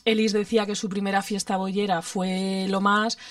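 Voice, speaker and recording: A woman's voice: mid-range voice, little pitch movement, moderate pace, no echo, clean studio sound. Candid middle-aged Spanish woman, slightly distant. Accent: Spanish, from Spain. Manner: hesitant, self-correcting, confiding, question tags. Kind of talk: candid casual conversation.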